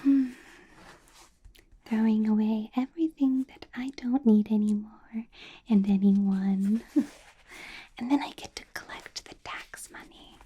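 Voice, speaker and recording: Woman acting slow, whispering ancient vampire boss. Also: a little high, steady tone, slightly rough. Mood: sly, menacing, amused. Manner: slow, drawn-out, coaxing, soft chuckles and repeated words. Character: ancient, doting, menacing female vampire boss. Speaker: ASMR roleplay performer. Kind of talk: whispered ASMR roleplay, personal attention.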